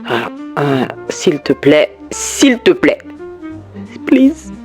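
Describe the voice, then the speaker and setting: Vlogger, woman, mid-twenties, chatty and expressive, soft music under her voice. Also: extremely slow. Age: 20s. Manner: chatty, expressive, swoops up high when excited. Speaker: YouTuber. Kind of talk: casual vlog talk to camera.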